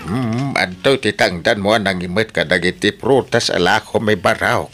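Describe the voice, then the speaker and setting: A deep, fatherly voice with an Ilocano accent, a man acting as a hospitable middle-aged farmer uncle in a radio drama. He talks in an easygoing, kindly way. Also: moderate pace, over loud music, natural voice. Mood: concerned, kindly.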